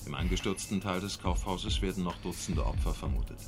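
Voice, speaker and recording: A man acting a sober radio newsreader. His very deep voice reads the bulletin formally and factually, with loud music behind it. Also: natural voice. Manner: factual, measured. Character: sober male radio news reader. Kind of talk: formal news bulletin reading.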